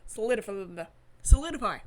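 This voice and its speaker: A woman's voice: mid-range voice, animated, fairly quick. Woman reading a game aloud, some background noise. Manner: playful, wry asides on the story. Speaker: let's-play streamer. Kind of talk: reading a visual novel aloud with casual commentary.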